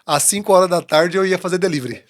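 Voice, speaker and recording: A man's voice: sits high, quick pace. A Brazilian man hosting a podcast interview, speaking in an encouraging, motivational way. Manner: encouraging, motivational, repeats his points. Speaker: podcast host. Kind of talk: casual interview talk.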